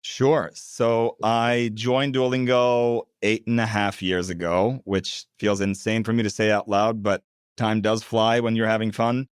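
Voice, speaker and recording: A man in his thirties from Turkey, a product executive calmly explaining his work in an interview. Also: on the low side, clear, resonant tone, dry recording, clean studio sound. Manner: measured, explanatory. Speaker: head of product, guest. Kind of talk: interview answers.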